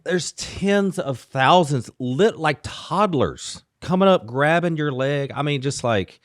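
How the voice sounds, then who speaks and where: A man co-hosting a podcast, telling stories in a lively, casual way and laughing often. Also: a little high, slow, no echo, studio quality. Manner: animated storyteller, laughs often. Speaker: podcast co-host. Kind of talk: casual chat.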